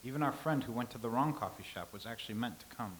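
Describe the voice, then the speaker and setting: A man reading the part of a calm, philosophical old-time scribe, speaking in a measured, lecturing way. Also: medium pitch, slight background noise, lightly acted, low-quality recording. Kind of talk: staged reading.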